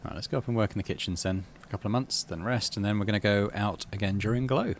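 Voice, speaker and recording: A man's voice: fairly low pitch, fast, a little hiss or hum. A man giving casual playthrough commentary on a game, thinking aloud and reading its story text out. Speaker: gaming channel host.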